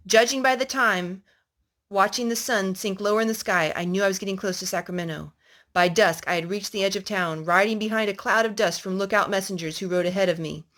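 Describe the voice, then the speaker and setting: A woman's voice: medium pitch, fairly bright tone. A woman storyteller reading a historical children's story aloud to a young listener.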